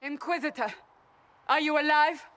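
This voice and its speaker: A woman's voice: high-pitched, steady tone, normal speed, fairly bright tone. An actress playing a woman in a fantasy video game cutscene. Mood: urgent.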